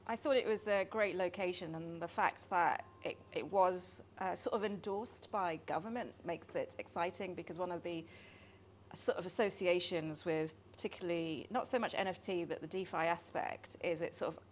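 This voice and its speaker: A woman's voice: lowish voice, moderate pace, slight background noise. A woman who grew up around the UK, answering interview questions in a thoughtful, hesitant way with many fillers. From United Kingdom. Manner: thoughtful, hesitant with ums and sort ofs. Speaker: guest. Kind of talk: conversational interview.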